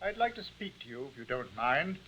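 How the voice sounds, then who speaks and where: An actor playing a polite but firm Scotland Yard detective in an old radio drama, formal and insistent. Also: male voice, medium pitch, very animated, fairly quick, heavy background noise, natural voice. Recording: dull old radio broadcast.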